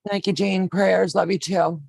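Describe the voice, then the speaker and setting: A woman in her fifties hosting a sewing livestream, chatting warmly with viewers and explaining each step as she works. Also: fairly low pitch, fairly even intonation, a little slow, creaky, no room sound. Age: 50s. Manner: homey, calls viewers baby, narrates each step. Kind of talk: casual sewing demonstration chat.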